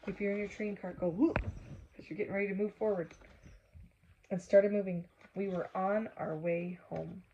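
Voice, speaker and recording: A woman's voice: mid-range voice, moderate pace, muffled recording, slight background noise. A woman reading a children's book aloud expressively, stopping to chat with and question her young listeners. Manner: animated, acts out exclamations, asks listeners questions.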